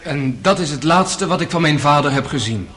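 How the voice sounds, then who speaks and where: An actor playing an earnest, polite, devout young son and loyal brother in a radio drama. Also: male voice, a little high, expressive, normal speed, a bit bright. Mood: earnest, defensive.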